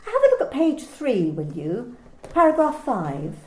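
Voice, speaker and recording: Boss figure by an actress, lady manager, calm and needling, lilting high voice, roomy home recording. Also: a little slow, a little hiss or hum. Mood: cool probing. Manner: calm, insinuating, repeats questions. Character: cool probing female office manager. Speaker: actor in an amateur radio play.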